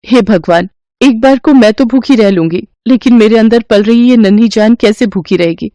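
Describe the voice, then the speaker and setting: A woman's voice: fairly high pitch, moderate pace, warm tone, dry recording, close to an everyday voice. A woman voicing a poor, devoted, polite young wife and mother-to-be in a moral story, with an earnest, pleading manner. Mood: worried. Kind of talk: animated moral-story dialogue.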